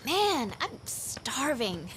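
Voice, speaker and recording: A playful young woman with something to hide in a game cutscene. She speaks casually at a slow pace, with faint ambient noise behind her. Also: sits high, very animated, a bit bright, full character voice.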